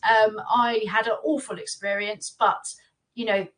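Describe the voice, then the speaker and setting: A woman's voice: fairly high pitch, fairly even intonation, a little slow, fairly bright tone, rough recording. Chatty reassuring woman. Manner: chatty, reassuring, many fillers. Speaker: guest from a baby class platform. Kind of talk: casual live Q&A. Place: remote live video call.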